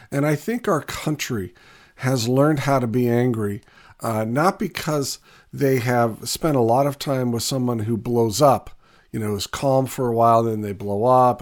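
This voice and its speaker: Raspy man recovering from a cold. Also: mid-range voice. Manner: conversational, with frequent "uh" fillers and restarts. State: hoarse from a recent cold. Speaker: Bible teacher for business owners. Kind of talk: video teaching talk.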